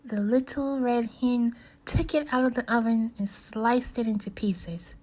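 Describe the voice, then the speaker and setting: A woman teacher reading a storybook aloud to children, in a deliberate, instructive way with questions to her listeners. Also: a little high, warm tone, rough recording. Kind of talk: slow storybook read-aloud and lesson for kids.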